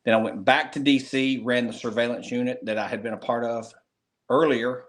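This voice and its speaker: A man's voice: mid-range voice, steady tone, brisk. A man in his fifties with a North Carolina Southern accent, talking easily as an interview guest in a plainspoken way, with fillers and restarts. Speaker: guest, retired Secret Service agent. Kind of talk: relaxed interview storytelling.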